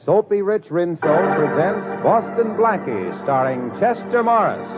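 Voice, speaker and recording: A man's voice: sing-song, measured pace, loud music behind the voice. Swooping American old-time radio announcer, male. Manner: theatrical, emphatic. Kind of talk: 1940s radio announcing and sponsor pitch. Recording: muffled old radio, loud truck engine. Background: loud truck engine rumble.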